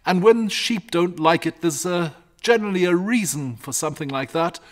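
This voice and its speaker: A man's voice: sits high, normal speed, voice pushed up, natural voice. A man voicing a frightened, fretful country shepherd in a storybook, rambling and agitated in a rustic way. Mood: nervous, confiding. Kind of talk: storybook character reading.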